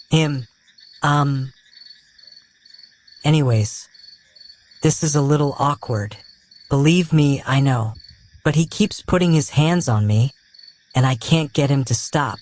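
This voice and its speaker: A man's voice: medium pitch, a little mellow, lightly acted. A man playing a cheeky, slightly awkward young wizard who talks informally in a fan audio drama. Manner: informal, conversational.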